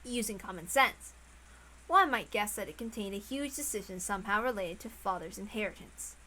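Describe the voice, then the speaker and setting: Bright-voiced woman narrating dramatically. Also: medium pitch, animated, normal speed, slight background noise, low-quality recording. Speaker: reader of a visual novel.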